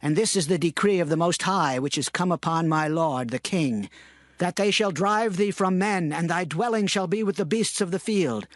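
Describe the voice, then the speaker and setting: A man playing an earnest prophet, lightly pitched. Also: no room sound, studio quality, natural voice. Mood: earnest warning. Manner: solemn, measured, deliberate. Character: earnest, respectful prophet counselling his king. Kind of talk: dramatized scripture reading. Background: music.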